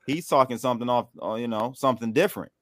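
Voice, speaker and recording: A man's voice: mid-range voice, fast, dry recording. Blunt co-host man, dry close sound. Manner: agreeable, frustrated, blunt. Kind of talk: casual political chat.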